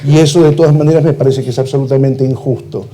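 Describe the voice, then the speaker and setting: Argentine man, mellow critical talk. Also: mid-range voice, fairly even intonation, fast. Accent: Argentine Spanish. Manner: critical, ironic, with frequent "eh" and "este" fillers. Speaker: translator and cultural manager. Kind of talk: prepared talk at a cultural event.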